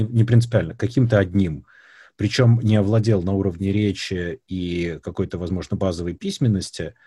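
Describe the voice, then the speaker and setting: Man, low pitch, dark husky voice, thoughtful host, dry room. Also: no echo. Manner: thoughtful, asks long searching questions. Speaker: interview host. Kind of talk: conversational interview.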